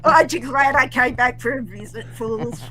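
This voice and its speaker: A woman on a live trivia stream, trading teasing, sharp-tongued banter with the panel over a video call. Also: fairly high pitch, normal speed. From Australia.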